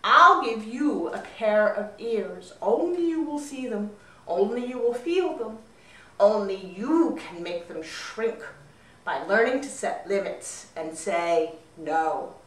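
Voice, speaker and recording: A woman's voice: medium pitch, lively intonation, takes it slowly, fairly bright tone. A woman voicing a bossy magical talking rook in a storytelling tale, with emphatic pronouncements. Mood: stern, commanding. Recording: roomy echo, distant mic.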